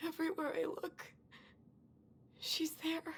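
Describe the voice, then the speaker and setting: A woman playing a guilt-ridden young woman in a naturalistic video game drama, halting, emotional and earnest. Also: very high voice, flat delivery, a little hiss or hum, heavily acted. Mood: remorseful. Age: young adult.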